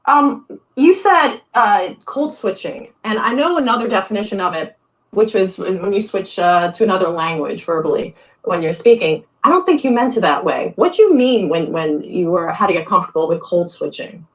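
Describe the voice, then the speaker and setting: A woman asks a question slowly and hesitantly, choosing her words with care. Her voice has a slightly muffled, dull quality. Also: mid-range voice, lively intonation. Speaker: audience member asking a question.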